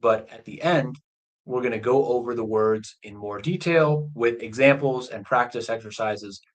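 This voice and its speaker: A man teaches English by telling a true story, speaking slowly and plainly. His pitch sits in the middle range for a man. He pauses to explain words during a live stream. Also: low-quality recording. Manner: slow, clear explanations of key words. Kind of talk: slow storytelling lesson for learners. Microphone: livestream microphone.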